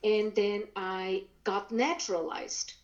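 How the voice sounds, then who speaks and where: A woman's voice: medium pitch, steady tone, slow pace, lo-fi sound. A middle-aged woman from Brazil, whose was German, earnestly telling her story as an interview guest. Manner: earnest, thoughtful, with restarts and fillers. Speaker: guest, painter. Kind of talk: interview conversation.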